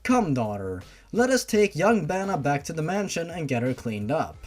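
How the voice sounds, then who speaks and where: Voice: medium pitch, sing-song, moderate pace, soft background music. A narrator voicing a composed, formal monster father in a horror story reading. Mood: calm, formal.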